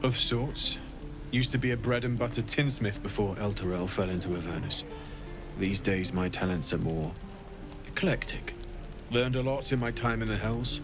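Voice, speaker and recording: Man playing a low-voiced, weary blacksmith. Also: natural voice. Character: weary, modest male refugee blacksmith trader.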